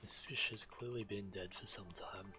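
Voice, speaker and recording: A man's voice: fairly low pitch, steady tone, moderate pace, sharp tone. A man reading video game quest text aloud as a streamer, acting out the quest givers' lines as he narrates.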